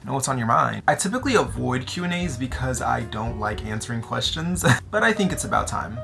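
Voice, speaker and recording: A man's voice: mid-range voice, big pitch swings, a little fast, gravelly, pushed and bright, faint music behind. A 19-year-old man with an American accent chatting casually and playfully to his audience in a slangy, joking way. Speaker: vlogger answering audience questions. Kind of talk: casual chat to camera.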